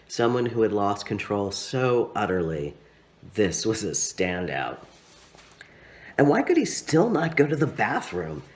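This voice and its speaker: A man with a low voice narrates a literary story he wrote. His pitch swings widely as he goes, and his phrasing is expressive and dramatic, with certain words stretched out. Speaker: author reading own story. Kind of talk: literary narration, read aloud.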